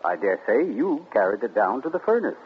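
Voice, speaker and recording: A gloating radio-play sleuth, 1940s. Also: male voice, on the low side, very animated, narrow, tinny audio. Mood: smug. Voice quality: theatrical, deliberate, relishing. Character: suave, gloating male amateur sleuth. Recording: scratchy 1940s radio broadcast.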